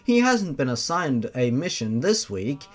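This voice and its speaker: A man voicing a calm, fatherly telepathic monster who speaks in a formal, gentle, courteous way for an audio story. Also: medium pitch, a little slow. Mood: matter-of-fact.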